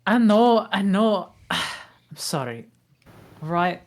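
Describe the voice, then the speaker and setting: Woman reading a grumpy, slow-talking ogre. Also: mid-range voice, animated. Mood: earnest. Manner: slow, grumbling, exasperated. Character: gruff male ogre, grumpy but decent. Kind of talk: amateur table read.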